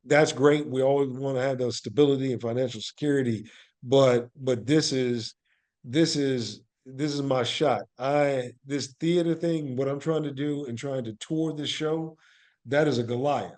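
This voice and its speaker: A man with a medium-pitched voice speaks as an interview guest. He is reflective and earnest, with a motivational bent. He often repeats a phrase to give it emphasis. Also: steady tone, normal speed, a little mellow. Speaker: podcast guest, actor and playwright.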